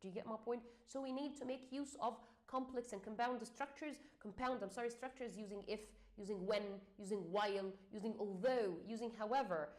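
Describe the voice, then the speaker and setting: Egyptian-accented woman teacher, slightly high voice, halting explanatory delivery. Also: darkish tone. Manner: hesitant, many fillers, repeats and restarts. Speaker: English exam teacher.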